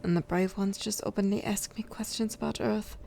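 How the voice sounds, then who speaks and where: A woman's voice: medium pitch, steady tone, a little fast, creaky, warm tone, a little hiss or hum, natural voice. A woman voicing a cheeky, battle-scarred fae woman war leader in an audiobook, teasing and irreverent. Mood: wry, bored. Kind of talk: audiobook character voice.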